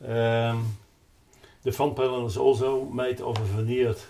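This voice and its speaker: An older man with a Dutch accent explains a product off the cuff, haltingly searching for words. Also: medium pitch, little pitch movement, creaky, background noise. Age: 60s or older. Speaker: loudspeaker designer presenting his product. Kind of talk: unscripted explanatory product talk. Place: carpeted, wood-panelled listening room indoors.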